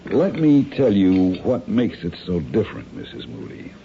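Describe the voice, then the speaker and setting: An actor playing a polite, calculating masked crime planner in a radio drama, speaking in formal, measured, persuasive phrases. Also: male voice, low-pitched, huge pitch swings, vocal fry, exaggerated voice. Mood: smoothly persuasive. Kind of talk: 1970s radio drama acting. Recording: dull, noisy old radio drama recording.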